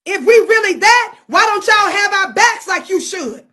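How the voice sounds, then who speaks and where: Sassy high-voiced woman ranting. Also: expressive, normal speed, bright, forceful tone. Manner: sassy, scolding, mocking. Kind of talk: combative online rant.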